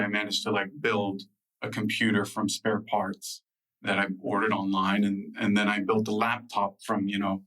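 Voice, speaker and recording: A man's voice: fairly low pitch, fairly bright tone, distant mic, poor audio. A man in his thirties with an American accent, a guest in an interview, telling stories about his past in a loose way with lots of fillers and restarts. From United States. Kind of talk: relaxed interview storytelling.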